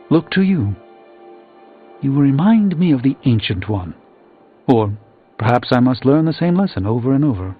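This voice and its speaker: A man performs a proud, middle-aged video game sorcerer, weary and eloquent. His phrasing is measured and formal with a dry wit, in a mid-range voice. The sound is slightly muffled, with music underneath. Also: mid-range voice, close to an everyday voice. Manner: measured, formal, erudite, dryly wry. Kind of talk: theatrical video game character dialogue.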